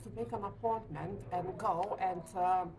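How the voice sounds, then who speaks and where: A woman's voice: lowish voice, steady tone, crowd noise, rough recording. Lithuanian-accented woman, hesitant. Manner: hesitant, frequent uh pauses, light laughs. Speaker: letting agency owner. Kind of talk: business interview. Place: hotel meeting room.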